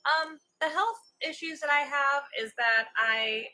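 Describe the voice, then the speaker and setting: A woman's voice: high-pitched, unhurried, pushed and bright, soft background music. A woman who has just turned forty, with an American accent, co-hosting a casual livestream and reading viewer comments aloud. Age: 40s. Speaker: co-host of a livestream watch party.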